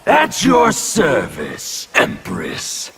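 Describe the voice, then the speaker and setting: A menacing male anarchist warrior whose speech drips with contempt, his gravelly voice swinging widely in pitch. He speaks slowly and deliberately. Loud game music plays beneath the video game dialogue. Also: wildly swooping pitch, full character voice. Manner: contemptuous, threatening delivery.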